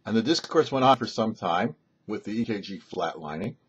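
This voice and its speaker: A man telling a story as a show host, in a measured, deliberate narration with dramatic pauses. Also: fairly low pitch, animated, fairly bright tone, breathy edge. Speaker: rabbi and radio storyteller, host. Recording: dull, muffled lo-fi recording.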